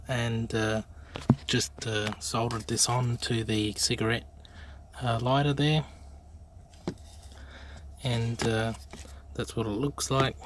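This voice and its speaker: An Australian man giving a casual hands-on installation demo in halting, unscripted short phrases. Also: mid-range voice. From South Australia. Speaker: amateur YouTube presenter. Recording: noisy amateur handheld recording, clicks.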